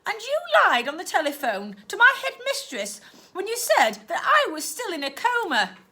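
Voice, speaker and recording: A woman's voice: very high-pitched, wildly swooping pitch, normal speed, bright, forceful tone, pitched above the performer's own voice, heavily acted. A woman voicing a frightened but defiant young girl who pleads and protests.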